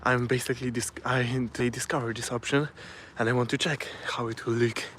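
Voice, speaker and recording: A man with a Polish accent, a native Polish speaker, giving a casual live-stream talk from his phone, often pausing and restarting as he searches for words. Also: medium pitch, level tone, fairly bright tone, slight background noise. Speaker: self-improvement vlogger.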